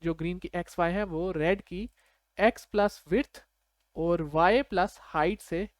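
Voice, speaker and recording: A Pakistani man speaks as a tutor in a slightly high voice. He explains things step by step in an informal, conversational way, often adding short check-in questions. The sound is close and dry, with very little room. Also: measured pace, dry recording. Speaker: programming tutor.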